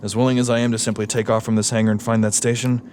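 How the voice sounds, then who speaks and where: A man's voice: lowish voice, level tone, racing, a little mellow, slight background noise, natural voice. A man playing a battle-scarred, defiant sergeant in a sci-fi audio drama, with a dry, firm manner. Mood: commanding. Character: battle-scarred male space marine sergeant, defiant, commanding. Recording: music behind the voice.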